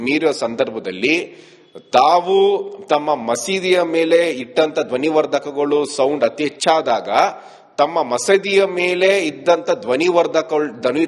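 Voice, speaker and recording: A man's voice: fairly high pitch, long reverb tail. A man with a Karnataka accent giving an emphatic, accusatory statement to a reporter, repeating his phrases. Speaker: activist leader being interviewed. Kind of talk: spoken statement to a news reporter.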